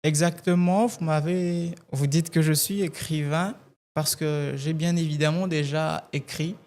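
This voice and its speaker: A man from Kasai-Oriental with Tshiluba as his first language, a gospel singer answering interview questions in a measured way and often repeating words as he looks for them. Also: a little high, steady tone, a little mellow. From Kasai-Oriental, DR Congo. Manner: measured, repeats words while searching for phrasing.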